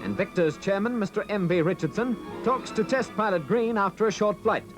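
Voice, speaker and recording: A man's voice: high voice, brisk. A man reading scripted, factual newsreel commentary. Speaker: newsreel narrator. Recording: archive newsreel soundtrack with music. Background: music.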